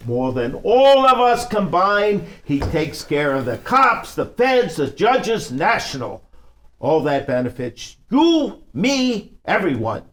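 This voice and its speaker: An actor at a table read playing a persuasive, pragmatic senior mobster. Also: male voice, sits high, very animated, takes it slowly, a bit bright, exaggerated voice. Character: persuasive, pragmatic male senior mob boss. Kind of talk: live script table reading.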